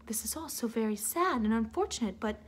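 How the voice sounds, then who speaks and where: A woman reading a storybook aloud like a teacher to children, theatrical and expressive, with asides to her listeners. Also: a little high, slight background noise. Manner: theatrical, expressive, drawn-out emphasis.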